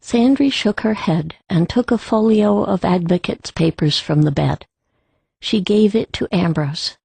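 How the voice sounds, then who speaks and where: Female audiobook narrator: slow, measured storytelling, soft dark tone, dry close sound. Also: mid-range voice, gravelly, breathy edge, no echo, low-quality recording.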